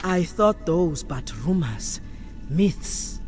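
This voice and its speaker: A solemn priestess leader in a fantasy game, low formal assured voice, music behind. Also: female voice, lively intonation, drawn-out words, a little mellow. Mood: solemn, resolute. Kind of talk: video game character acting.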